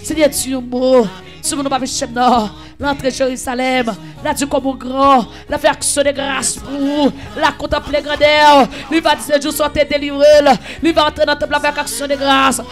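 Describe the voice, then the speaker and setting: A man leading an impassioned prayer, pleading and repeating phrases, half singing and at times shouting. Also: very high voice, flat delivery, moderate pace, few pauses, faint music behind. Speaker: prayer leader. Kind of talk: fervent chanted prayer.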